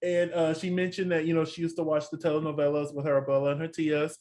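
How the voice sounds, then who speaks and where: Sassy Texan man, slightly high voice. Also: level tone, fast talker, darkish tone. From Texas. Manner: chatty, sassy asides like "honey". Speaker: podcast co-host. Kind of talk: casual TV recap chat.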